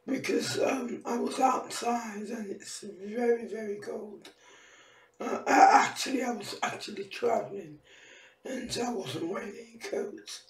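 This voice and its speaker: A hoarse woman in her fifties who is losing her voice, rambling through a casual vlog and repeating her phrases. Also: a little high, a little mellow, reverberant, recorded from a distance, rough recording. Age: 50s. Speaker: vlogger.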